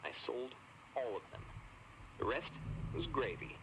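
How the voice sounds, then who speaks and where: Rambling, animated male radio caller. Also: mid-range voice, a little slow, creaky. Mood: pleased, bragging. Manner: casual, rambling. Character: laid-back, rambling guy bragging as radio caller. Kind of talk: video game radio call-in acting. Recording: noisy game audio capture.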